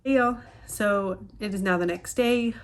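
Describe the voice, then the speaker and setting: A woman's voice: medium pitch, unhurried. Young woman chatting, slightly stuffy nose. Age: young adult. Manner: relaxed, chatty, many ums. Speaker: small business owner vlogger. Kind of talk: casual vlog chat. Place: home craft room. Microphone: phone camera at arm's length.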